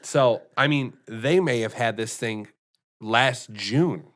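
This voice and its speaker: A man's voice: mid-range voice, slow pace, fairly bright tone. An American man co-hosting a podcast, chatting casually and rambling with many restarts and fillers. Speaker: podcast co-host. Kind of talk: casual chat.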